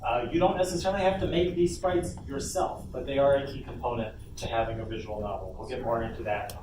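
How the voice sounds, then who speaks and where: A man hosting an informal convention workshop, speaking casually with hesitant pauses as he explains things to the room. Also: mid-range voice, fairly even intonation. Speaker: panel host and presenter. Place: indoor convention panel room. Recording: roomy, distant mic, noisy convention room.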